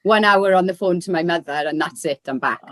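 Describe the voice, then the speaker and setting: A woman's voice: lowish voice, fairly quick, dry recording, studio quality. A woman from Wales with a South Wales Valleys accent, fondly reminiscing in casual conversation and laughing easily. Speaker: guest. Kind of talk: casual chat.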